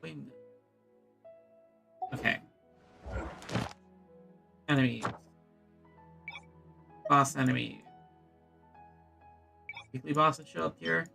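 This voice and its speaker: A woman's voice: low voice, takes it slowly, vocal fry, long pauses, sharp tone. A woman giving casual let's play commentary as a gaming streamer, reacting and thinking aloud as she goes. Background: game music.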